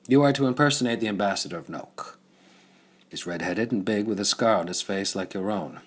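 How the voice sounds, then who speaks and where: A cold, secretive plotter in a cowl, acted by a male audiobook reader. The voice is deep and husky. He lays out orders in a clipped, conspiratorial way. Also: a little fast.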